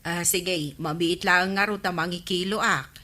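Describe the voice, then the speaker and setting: A woman's voice: lowish voice, steady tone, unhurried, pushed and bright. A woman acting a firm, businesslike village storekeeper with a Filipino accent in a comedy radio drama. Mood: cautious. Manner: businesslike, insistent.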